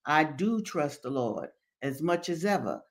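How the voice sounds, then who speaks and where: A woman's voice: deep voice, animated, unhurried. A defiant man worn thin by waiting voiced by a woman reader, bitter and resolute. The speech is formal and emphatic, a rebuke delivered in storybook drama. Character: defiant, embittered enslaved man rejecting religion. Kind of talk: dramatic novel reading.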